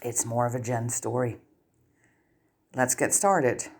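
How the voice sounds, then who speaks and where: A woman reads a scripted story aloud as its narrator. Her voice sits low for a woman. The delivery is that of an audiobook. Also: slow, a little mellow.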